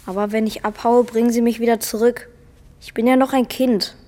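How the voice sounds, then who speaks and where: Neglected young boy, played by a woman: highish voice, sullen, wary and defiant, radio drama in reverberant space. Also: steady tone, fairly quick, warm tone, close to an everyday voice. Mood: sullen, pleading.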